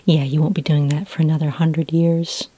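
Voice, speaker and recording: Female ASMR performer as a friendly librarian: low-pitched, breathy near-whisper, gentle and patient, conversational delivery. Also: flat delivery, dry recording. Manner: gentle, unhurried, helpful, conversational. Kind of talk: soft-spoken ASMR roleplay. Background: computer keyboard typing.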